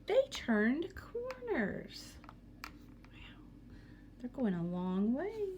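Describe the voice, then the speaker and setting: A woman's voice: sits high, wildly swooping pitch, very slow, a little mellow, a little hiss or hum. A woman teacher reading a picture book aloud to students, expressively, with asides and questions for young listeners.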